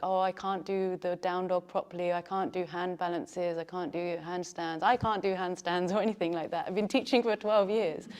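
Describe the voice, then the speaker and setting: A woman's voice: fairly low pitch, a little mellow. A woman teaching yoga teacher trainees, talking in a chatty way and laughing often. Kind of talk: teaching a class.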